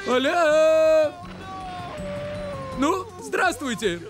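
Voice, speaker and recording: An actor playing an excitable, cheeky bird who hosts a cartoon animal show in an exclamatory, showy way. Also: male voice, very high voice, very slow, over music, heavily acted. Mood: excited. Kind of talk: cartoon dub, show hosting.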